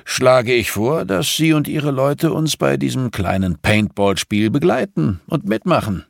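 A man's voice: medium pitch, animated, a little slow, gravelly, no room sound, studio quality, pitched above the performer's own voice. A man voicing a jovial, patronizing, muscular rich father with a commanding, expansive manner in an audiobook. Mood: eager, patronizing.

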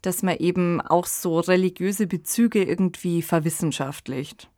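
A woman's voice: lowish voice, unhurried, dark tone, no echo, clean studio sound. A woman, co-hosting a podcast and discussing things in a conversational, analytical style. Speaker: podcast co-host.

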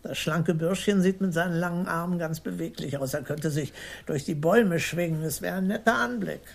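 A woman's voice: on the low side, fairly quick, vocal fry. An actress playing a stout, defiant middle-aged woman in a German radio crime drama, blunt, dry and sardonic. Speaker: murder suspect, former maths teacher.

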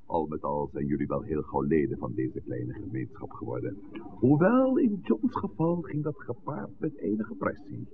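A very low-voiced village priest, courteous and a little dictatorial, with sweeping, highly animated intonation. It is a vintage radio drama, heard through a narrow, phone-like band with light noise. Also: male voice, normal speed, heavily acted. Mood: courteous, genial. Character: village priest, genial, somewhat dictatorial older man. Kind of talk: 1960s Dutch radio drama acting.

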